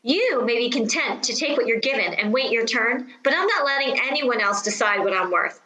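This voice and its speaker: An actress playing a haughty, seductive vaudeville-born stage star in a radio play, theatrical and condescending. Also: female voice, on the high side, animated, a little fast, pushed and bright, reverberant, rough recording. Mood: hard, defiant. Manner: theatrical, drawling, condescending endearments.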